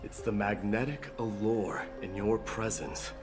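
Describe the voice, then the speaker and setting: An actor playing a stoic, proud warrior demigod in a video game, speaking formally and resolutely over music. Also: male voice, lowish voice, steady tone, a little slow, close to an everyday voice. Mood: earnest, respectful. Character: stoic, proud warrior prince demigod, devoted to protecting others. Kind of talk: dramatic video game voice acting.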